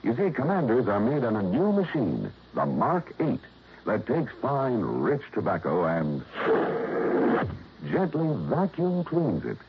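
A man's voice: medium pitch, wildly swooping pitch, measured pace, noisy background. A man with an American accent announcing and reading sponsor copy in a polished 1960s radio style. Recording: dull vintage radio broadcast, loud music.

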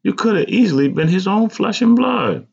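A man's voice: sits high, expressive, normal speed, gravelly, a little mellow, slightly rough, dull audio, pitched above the performer's own voice, full character voice. A man voicing an earnest, insistent middle-aged mother in an audiobook, speaking with sincere pleading.

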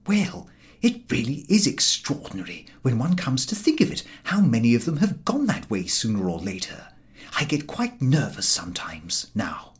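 A man voicing a mild gentleman in a comic audiobook, soft, breathy and unruffled, full of feigned kindly concern. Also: medium pitch, huge pitch swings, moderate pace. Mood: mild, musing.

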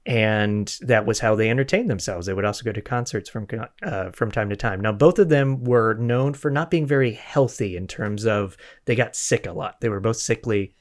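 Low-voiced man casually retelling a mystery. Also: moderate pace, few pauses. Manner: informal, joking asides, self-correcting. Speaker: podcast host telling a true crime case. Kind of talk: conversational retelling of a historical mystery.

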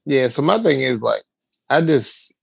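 A gravelly, creaky man in his forties tells stories in loose podcast banter and slips into acted-out quotes. His voice sits at an ordinary pitch and sounds close and dry, with no room around it. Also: measured pace, dry recording. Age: 40s. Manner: animated, joking storyteller who acts out quotes. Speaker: podcast co-host. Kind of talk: casual chat.

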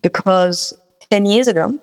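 A woman from Mauritius, a native speaker of French and Creole, telling personal stories as a guest musician in a halting, conversational way, often repeating her words. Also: mid-range voice. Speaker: guest musician and singer-songwriter. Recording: long reverberant hall sound.

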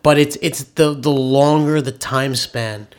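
A man's voice: mid-range voice, steady tone, a little slow. A man with a nasal voice co-hosting a podcast, explaining finances in an enthusiastic, opinionated casual way. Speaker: podcast co-host, author.

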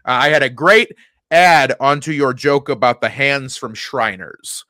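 A man's voice: mid-range voice, unhurried, bright, forceful tone, no echo. A man in casual podcast banter, a comedian telling about his act in a wry, joking way with stumbles and restarts.

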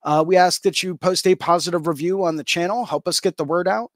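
A man's voice: sits high, fairly even intonation, a little fast, no echo, studio quality. A man hosting an interview show, reading sponsor thanks and welcoming a guest in an upbeat way with frequent ums. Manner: upbeat, peppered with ums and uhs. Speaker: podcast host.

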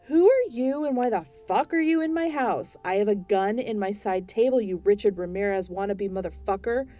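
A woman voicing a foul-mouthed young homeowner who yells and swears in a spoken story. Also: medium pitch, lively intonation, moderate pace, soft background music, close to an everyday voice. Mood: furious. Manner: shouting, swearing.

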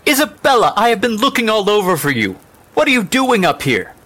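A man's voice: lowish voice, level tone, fast, fairly bright tone, dry recording. A man voicing a stern, condescending lady of the house, an angry mother who gives commands. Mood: angry, scolding.

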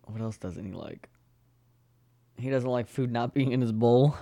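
A man's voice: medium pitch, measured pace, creaky, warm tone, dry recording. A man co-hosting a podcast, chatting casually in a laid-back, joking way. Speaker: podcast co-host. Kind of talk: casual chat.

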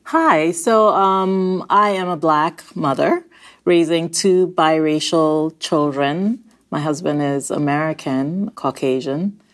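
A woman's voice: low voice, slow pace. A woman from Nigeria speaking as a podcast guest, friendly and enthusiastic. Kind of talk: interview conversation.

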